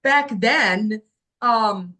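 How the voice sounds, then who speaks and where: A woman in her fifties, originally from Morocco, sharing her life story as a podcast guest. Her voice sits a little high, and she talks in an earnest, reflective way, pausing on "um" and "like" as she gathers her thoughts. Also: sharp tone. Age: 50s. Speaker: guest, agency founder. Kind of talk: personal life story in an interview.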